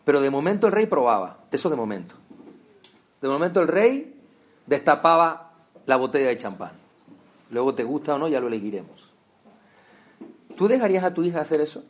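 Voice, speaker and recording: A man's voice: on the high side, big pitch swings, a little fast, long pauses, slight background noise. Chatty preacher, man. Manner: conversational, addresses listeners directly with rhetorical questions. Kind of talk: sermon.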